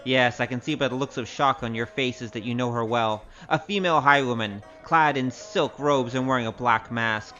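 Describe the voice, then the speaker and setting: A man's voice: medium pitch, normal speed, few pauses, bright, forceful tone, dull audio, close to an everyday voice. A male actor playing a roguish, wry storyteller with a grandiose, theatrical manner in a comic audio drama. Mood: teasing, relishing. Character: roguish, boastful storyteller, wry poor adventurer. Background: music.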